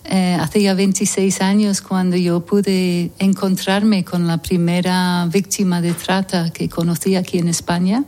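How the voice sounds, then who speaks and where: A woman raised in Scotland, founder of a charity, answering questions in a calm, reflective way. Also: on the low side, a little slow, hardly any pauses. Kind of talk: interview answers.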